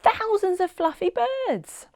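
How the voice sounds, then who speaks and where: A cheeky young storybook girl as a woman reader puts her on, pitched high and full of exclamation. She swoops about with wide-eyed curiosity, in a close, dry sound. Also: expressive, slow, darkish tone, no room sound, heavily acted. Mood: delighted. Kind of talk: storybook read-aloud character voice.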